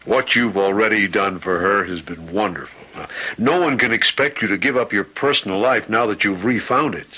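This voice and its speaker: A hospital chaplain in a radio play. His voice is on the low side and very sharp and forceful, with a concerned, probing, persuasive manner. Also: normal speed, breathy edge, poor audio. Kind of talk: radio drama acting.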